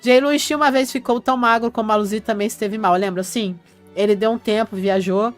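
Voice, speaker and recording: A woman's voice: on the high side, steady tone, a little fast, faint music behind. Chatty woman host answering live comments. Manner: chatty, reads comments aloud and answers them. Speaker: channel host reading viewer comments. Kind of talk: casual live-stream chat.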